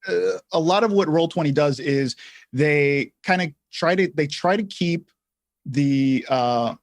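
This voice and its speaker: A man co-hosting a livestream, giving casual tutorial explanations in halting phrases with some repeats. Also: on the high side, dry recording, studio quality. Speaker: livestream co-host.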